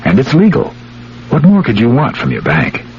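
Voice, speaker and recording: A man's voice: medium pitch, huge pitch swings, moderate pace. An American man reading a scripted radio commercial as an announcer. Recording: dull, hissy 1970s radio recording.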